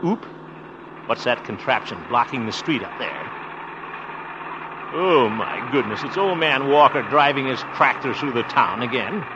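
A man's voice: medium pitch, expressive, unhurried, natural voice. An actor playing a blustery, sarcastic small-town man in a 1950s radio sitcom, theatrical and easily exasperated. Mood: amused, musing. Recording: noisy, muffled 1950s radio recording.

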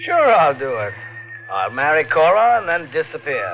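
A man's voice: a little high, very animated, moderate pace, soft background music. A man playing a smug, greedy blackmailer in old-time radio drama, on a muffled old recording.

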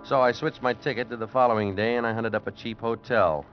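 A man's voice: mid-range voice, fairly even intonation, fast, natural voice. An actor playing a world-weary, broke actor who narrates his story in a wry, hard-boiled radio drama style. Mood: weary, intrigued. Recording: muffled vintage radio recording, music behind.

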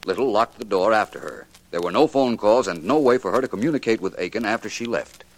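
A gentleman sleuth in a vintage radio mystery. His voice is deep, and he speaks in an urbane, measured, precise way, never flustered. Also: animated, rough recording. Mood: deliberate, puzzled. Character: suave, unflappable gentleman detective. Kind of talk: 1940s radio detective drama acting.

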